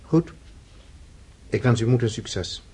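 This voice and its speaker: An actor playing a stern, ceremonious young count and freemason sponsor in a radio drama, solemn and formal. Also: male voice, mid-range voice, lively intonation, takes it slowly, dark tone, slight background noise.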